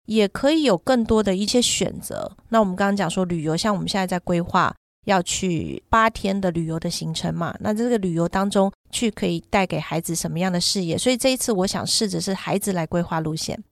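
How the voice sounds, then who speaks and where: A woman's voice: on the low side, a little fast, creaky, few pauses, dry recording, studio quality. A middle-aged woman in casual podcast conversation, explaining things at length with examples. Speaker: podcast guest and parent who runs children's summer camps.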